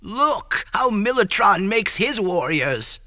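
A man's voice: high-pitched, very animated, slow pace, sharp tone, no echo, studio quality, heavily acted. A man playing a solemn old mystic guide in a cartoon game, declaiming portentously. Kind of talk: animated game cutscene acting.

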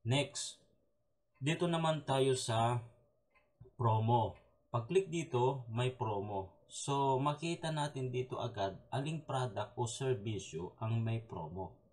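A man giving a relaxed software walkthrough, explaining step by step with frequent fillers and tag questions. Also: medium pitch, slow. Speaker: software tutorial presenter. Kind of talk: casual screen-recorded software walkthrough.

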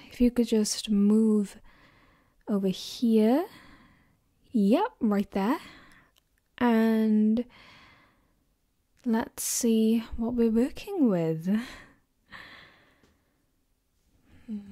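A caring girlfriend acted by a woman speaks softly and slowly in an intimate ASMR roleplay. Her voice is lightly high, mellow and breathy, close to the microphone. She is gentle and reassuring, with a teasing streak. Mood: soft, attentive. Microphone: close binaural microphone.

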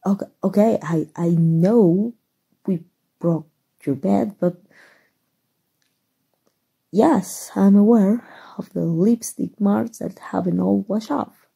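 A woman from Mexico playing a shy, flustered, nerdy gamer superheroine in an ASMR roleplay, soft and halting, with stammers. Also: medium pitch, exaggerated voice. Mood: flustered, smitten. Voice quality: breathy, soft.

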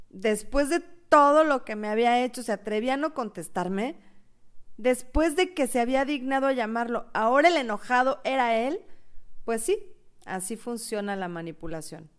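A woman acts a lovesick young woman in a radio soap. Her voice is high, and she speaks with anxious, wounded drama, as someone who cannot let go of her ex. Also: smooth, lightly acted. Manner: emotional, dramatic. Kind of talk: radio drama acting with first-person narration.

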